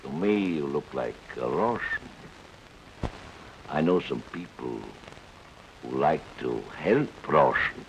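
A prying, suspicious refugee with a very deep voice, asking probing, insinuating questions. Faint rain hiss runs behind him in the old film sound. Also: male voice, lively intonation, takes it slowly, pauses often, heavily acted, low-quality recording. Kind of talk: 1950s TV drama acting.

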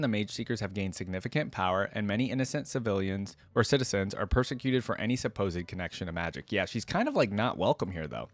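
A man's voice: on the low side, dry recording. A young man hosting a reaction video, reading lore aloud and adding casual, chatty commentary into a close desktop microphone. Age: young adult.

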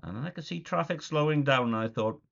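A man's voice: medium pitch, fast, gravelly, fairly bright tone. A man in his forties, a little nasal from a cold, chatting casually through a video diary in a small room, rambling with lots of ums. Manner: chatty, rambling, filler-heavy. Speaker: vlogger. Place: small dim room indoors. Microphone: webcam at desk. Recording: dull lo-fi webcam audio.

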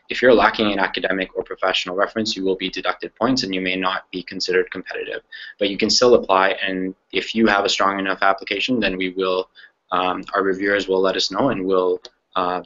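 A man's voice: sits low, pushed and bright. A young man moderating an online information session, explaining program details with frequent fillers and self-corrections over a video call. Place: small room with framed pictures. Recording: muffled video call audio.

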